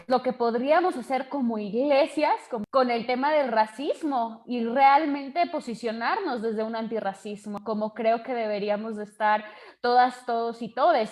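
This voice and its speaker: A woman hosts a conversational podcast with a slightly high voice. She reflects on what her guest has said in a thoughtful, measured way. Her phrasing is unhurried and considered. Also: darkish tone. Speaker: podcast host. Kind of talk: casual interview conversation.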